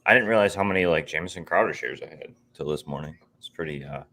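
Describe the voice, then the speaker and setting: A man co-hosting a casual sports podcast while losing his voice, strained and clearing his throat. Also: extremely low pitch, fast talker, sharp tone. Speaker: podcast co-host.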